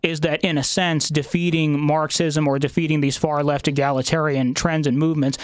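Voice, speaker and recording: Halting male podcast host. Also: on the high side, little pitch movement, no echo, studio quality. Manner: hesitant, many fillers and restarts. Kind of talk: podcast interview.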